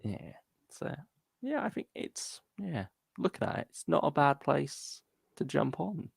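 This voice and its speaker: Man with a very gravelly, creaky voice. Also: a little high, very animated, measured pace, no room sound. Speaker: podcast co-host. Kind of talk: casual fan discussion, reading listener comments.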